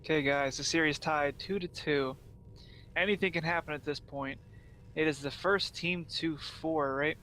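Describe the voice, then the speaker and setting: A young man from Pennsylvania giving steady, explanatory play-by-play commentary on a strategy game. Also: a little high, normal speed. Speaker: play-by-play caster. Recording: faint background noise.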